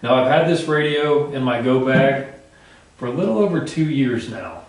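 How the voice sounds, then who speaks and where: A man's voice: mid-range voice, expressive, moderate pace, poor audio. A man hosting a casual product review in an informal, folksy, plain-spoken way. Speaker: gear review channel host.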